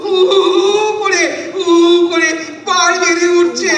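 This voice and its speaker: A very high-voiced, sickly male passenger who panics easily. He stammers and repeats himself in a slow, whimpering theatrical drama delivery, heard from a distance in an echoey hall. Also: flat delivery, a bit bright, heavily acted. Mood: pleading, distressed. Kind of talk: theatrical Bengali audio drama performance.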